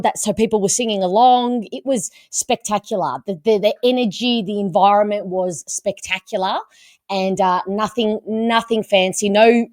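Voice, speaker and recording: A woman talks sport in a casual podcast chat, emphatic and lively, often doubling her words for effect. Her voice sits in an ordinary middle register. The sound is dry, with no room echo. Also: mid-range voice. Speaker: podcast host.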